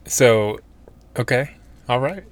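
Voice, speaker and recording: A man's voice: medium pitch, animated, slow pace. A young adult man co-hosting a podcast in casual, unscripted banter, with a joking, teasing way of talking. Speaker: podcast co-host.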